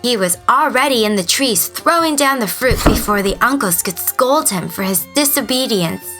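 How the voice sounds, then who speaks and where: A woman storyteller reading a scripted children's tale expressively, with deliberate dramatic emphasis. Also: fairly high pitch, lively intonation, a bit bright, faint music behind.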